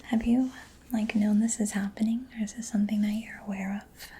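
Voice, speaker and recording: Softly whispering woman, even and gentle. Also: mid-range voice, fairly quick, a little hiss or hum. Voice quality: soft, breathy whisper. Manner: gentle, hesitant, reassuring. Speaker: ASMR roleplayer as a robot mechanic. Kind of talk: ASMR, soft-spoken whispered personal-attention roleplay.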